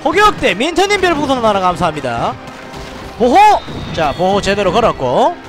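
A man from Daegu with a Daegu dialect accent, giving excitable live commentary on a strategy game, shouting orders and muttering to himself. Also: high-pitched, normal speed, music in the background. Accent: Gyeongsang (Daegu) dialect. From Daegu, South Korea. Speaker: game streamer.

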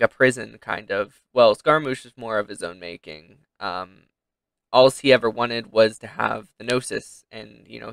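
A man's voice: fairly low pitch, fairly bright tone, clear, resonant tone, no echo. A young man giving casual reaction commentary as a game streamer.

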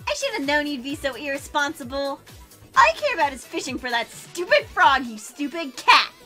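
Obsessive, short-tempered cartoon hedgehog girl, played by a woman: very high, swooping, brash and demanding. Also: big pitch swings, moderate pace, bright, forceful tone, faint music behind, heavily acted. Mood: scolding. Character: obsessive, bossy young pink female hedgehog, short-tempered. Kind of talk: comedic cartoon fandub.

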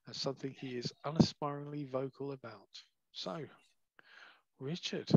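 A man's voice: mid-range voice, normal speed, fairly bright tone, dry recording. A man chairing an online branch meeting over a video call, reading out introductions of the speakers. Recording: dull, dry video call sound.

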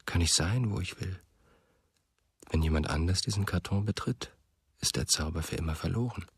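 A brooding rememberer in a literary radio play. His voice is very deep and heavily husky, with gravel in it. The delivery is hushed and reflective, recorded close and dry. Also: moderate pace, no room sound, heavily acted. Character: brooding man recalling his childhood friend. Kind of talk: literary radio drama narration.

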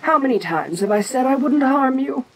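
A woman playing an ancient, domineering giantess kaiju queen in an audio roleplay, imperious and teasing yet protective, speaking with deliberate pauses. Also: high voice, expressive, rough recording. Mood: commanding, reassuring. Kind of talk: second-person audio roleplay acting.

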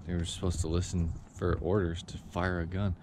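A man's voice: deep voice, a little fast, heavy background noise. A man from Texas talking casually while exploring an old concrete fort for a travel vlog. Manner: excited, exploring on the move. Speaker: travel vlogger.

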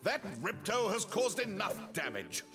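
Role: pompous rich bear, high lively voice, slow bluster, game music behind. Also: male voice, exaggerated voice. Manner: blustering, boastful. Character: pompous wealthy male bear mayor in a suit. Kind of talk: video game cutscene acting.

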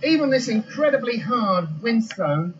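Very high-pitched man explaining outdoors. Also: big pitch swings. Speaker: geology expert. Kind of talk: unscripted explanation in an interview. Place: outdoors beside a roaring waterfall. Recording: dull sound, loud rushing water noise.

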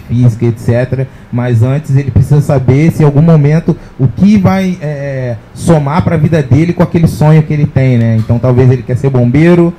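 A man's voice: medium pitch, warm tone, a little hiss or hum, low-quality recording. A man, speaking earnestly at a panel on an indoor stage with a handheld mic. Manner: earnest, rambling with many fillers. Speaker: social project leader. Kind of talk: panel discussion. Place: indoor event stage with sponsor backdrop.

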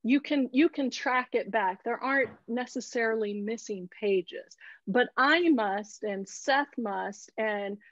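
A middle-aged woman with a fairly high voice gives an earnest talk at a slow, deliberate pace. She leans on key words for emphasis. The video-call sound is dry and a bit dull. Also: dry recording. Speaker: photographer giving a talk. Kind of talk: earnest presentation and discussion. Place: indoor room on a video call. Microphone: earbuds on a video call.

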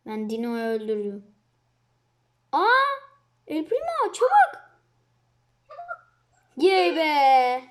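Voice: sits high, big pitch swings, drawn-out words, pauses often. A child chatting casually as a co-player during a video game. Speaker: gamer, co-player. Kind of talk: casual chat during gameplay.

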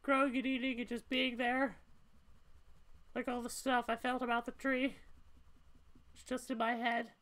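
Woman voicing a high-pitched, troubled young cat girl. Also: level tone, normal speed, long pauses, fairly bright tone, natural voice. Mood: troubled, confessional. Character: troubled young female cat, weary and confessional. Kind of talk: amateur voiced playthrough of a story game.

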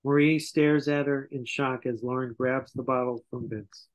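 A man's voice: mid-range voice, steady tone, normal speed, highs cut off. An older man reading scripted stage directions over a video call, stumbling now and then. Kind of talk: scripted narration at a table reading. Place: indoor room on a video call.

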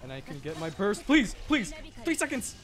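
A man's voice: sits high, sing-song, moderate pace. A young adult man giving casual live commentary as he plays a video game, muttering to himself and exclaiming in frustration. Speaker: streamer playing a video game.

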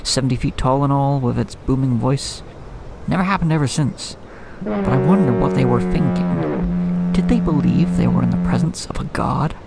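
A man's voice: mid-range voice, expressive, moderate pace, creaky, rough recording. A man playing a brooding, poetic old lighthouse keeper in an audio drama, musing like a storyteller. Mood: wistful, awed. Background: music.

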